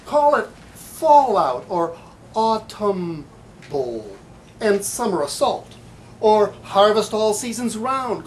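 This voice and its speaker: A man giving a live poetry reading in a bookstore, with halting, hesitant asides and deliberate delivery. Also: high voice. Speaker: poet. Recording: light background room noise.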